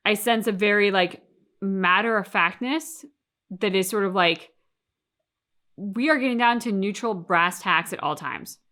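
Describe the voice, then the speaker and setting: A woman's voice: medium pitch, unhurried, sharp tone. A woman co-hosting a reaction channel, talking through her thoughts casually and emphatically, with plenty of colloquial turns of phrase.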